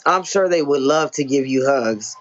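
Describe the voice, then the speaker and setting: A man's voice: mid-range voice, expressive, normal speed, muffled recording, a little hiss or hum, natural voice. A man voicing a compassionate, jolly, motherly woman social worker in a read-aloud story, speaking in soothing endearments. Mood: tender, cheerful. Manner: soothing endearments, tender phrasing.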